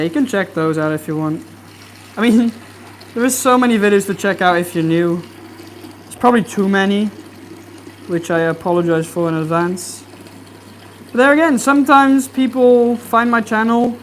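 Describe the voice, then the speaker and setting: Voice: mid-range voice, a little hiss or hum. Easygoing young fishkeeping streamer. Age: young adult. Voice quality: soft, relaxing voice. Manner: easygoing, rambling, many fillers. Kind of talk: casual livestream chat while cleaning tanks. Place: home fish room.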